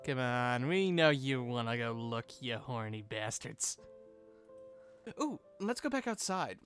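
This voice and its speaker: A man co-hosting a fan breakdown, giving recap commentary in a playful, excitable and cheeky way. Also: mid-range voice, unhurried, soft background music. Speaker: co-host of a fan breakdown show.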